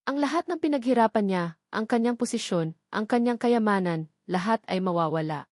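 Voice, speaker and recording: A woman's voice: medium pitch, expressive, brisk, dry recording, studio quality. A woman reads a scripted romance story as narrator, in a dramatic, emphatic storytelling manner.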